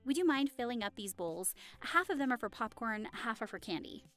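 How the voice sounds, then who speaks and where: A woman's voice: a little high, very animated, fairly quick, a bit bright, faint music behind. A woman playing a friendly, affectionate young hostess in an audio roleplay, chatting cheerfully and reassuringly to the listener. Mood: chatty, reassuring. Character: friendly, affectionate young woman hosting a Halloween costume party.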